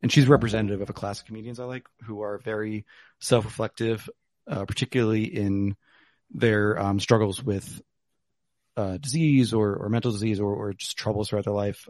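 Low-voiced man chatting with fillers. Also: steady tone, brisk, a little mellow, dry recording. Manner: rambling, lots of fillers. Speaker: podcast host. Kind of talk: casual chat over whiskey. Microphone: remote call.

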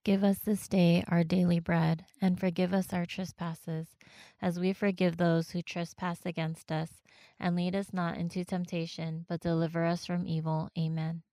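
A woman giving rosary responses in a measured, formulaic recitation. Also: lowish voice, flat delivery, dark tone, no echo.

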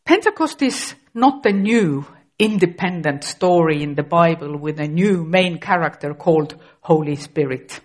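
A woman's voice: fairly low pitch, lively intonation, a little slow. A middle-aged woman from Estonia, a native Estonian speaker with an Estonian accent, preaching a sermon carefully and with occasional restarts.

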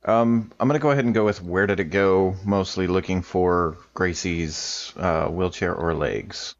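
A man's voice: deep voice, moderate pace. A man playing a tabletop game, talking casually as he role-plays his character's actions. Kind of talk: casual role-play chat. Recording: dull, lo-fi voice track.

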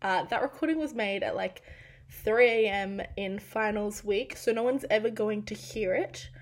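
A woman in her twenties with an Australian accent, hosting a casual solo podcast with a croaky, raspy voice from losing it this week, chatty and self-deprecating. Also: mid-range voice, normal speed. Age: 20s. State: croaky, half-lost voice. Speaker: podcast host.

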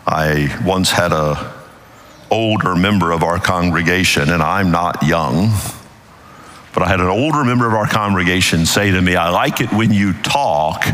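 Loud booming preacher in a big hall. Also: male voice, normal speed. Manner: loud, emphatic preaching with shifting intensity. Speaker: pastor. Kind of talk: sermon. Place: church sanctuary. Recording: long reverb of a large hall.

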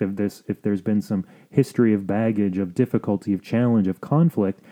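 A man hosting an advice podcast, explaining things in a measured, sometimes halting way. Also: fairly low pitch, mellow. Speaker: podcast host.

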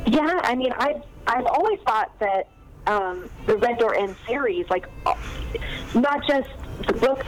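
A woman's voice: on the high side, a little hiss or hum, rough recording. A woman novelist chatting in an interview, talking loosely with many fillers and restarts. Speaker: novelist guest. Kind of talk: casual interview chat.

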